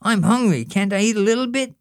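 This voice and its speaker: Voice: lively intonation, fairly quick, no room sound, clean studio sound, close to an everyday voice. A reader voicing a curious, whiny little boy, nagging his older brother with questions. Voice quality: very high, childlike.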